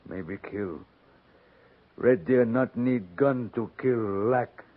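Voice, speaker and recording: Slow-spoken, guarded chief in an old radio western. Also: male voice, medium pitch. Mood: guarded, resentful. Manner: clipped broken English, slow and deliberate. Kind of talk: 1950s radio western acting. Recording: muffled 1950s radio recording.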